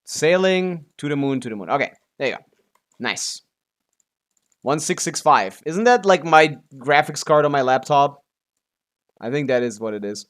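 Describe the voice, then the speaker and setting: A man's voice: fairly high pitch, lively intonation, moderate pace, long pauses, a bit bright. A man chatting casually on a gaming livestream as the streamer, often muttering to himself and swearing offhandedly. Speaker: live streamer.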